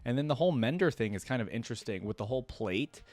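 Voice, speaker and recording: A man's voice: medium pitch, animated, fast, darkish tone. A man reading a fantasy story aloud and breaking off for casual, informal commentary. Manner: informal, tag questions like "right?". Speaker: reaction video host.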